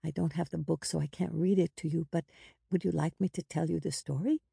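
Woman as a soft, soothing big sister. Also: low voice, fairly even intonation, a little fast, vocal fry, breathy edge, no echo, clean studio sound, heavily acted. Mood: gentle storytelling. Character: protective, gentle ten-year-old big sister.

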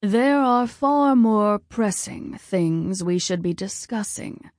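A woman voicing a commanding, cold male war god and father, speaking in a formal, measured way. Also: medium pitch, lively intonation, darkish tone, dry recording, clean studio sound. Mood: grave, measured.

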